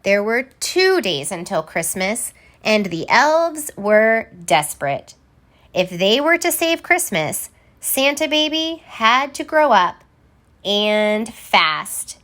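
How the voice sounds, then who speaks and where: A woman reading a children's story aloud in an expressive, theatrical way, landing deliberately on key words. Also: medium pitch. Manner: theatrical, deliberate, emphatic word by word. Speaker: storybook reader.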